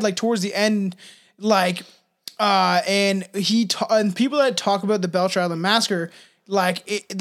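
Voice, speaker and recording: A podcast co-host telling a history story in a casual, profane way. The talk rambles, with false starts and mocking put-on quotes. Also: medium pitch.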